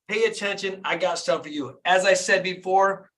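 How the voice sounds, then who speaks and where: Hyped-up, bright-voiced man in his fifties. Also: high voice, moderate pace. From Flint, Michigan, USA. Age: 50s. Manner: energetic, hyped, repeats "right?" and asks the listeners questions. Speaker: dentist and business coach hosting a live call. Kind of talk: motivational live-stream talk.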